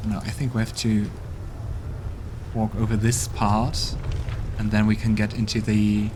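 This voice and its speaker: A man's voice: fairly low pitch, completely flat delivery, a little slow, noisy background. A man hosting a role-playing game let's play, chatting casually and musing aloud as he plays. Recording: game music playing behind.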